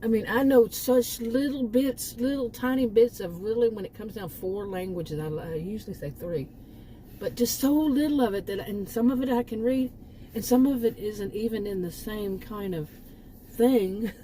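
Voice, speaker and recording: A woman's voice: on the high side, normal speed, dark tone, slight background noise, low-quality recording. A woman from Texas with a Texan accent, talking casually to her channel and rambling through restarts and asides. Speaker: channel host. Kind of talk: casual monologue.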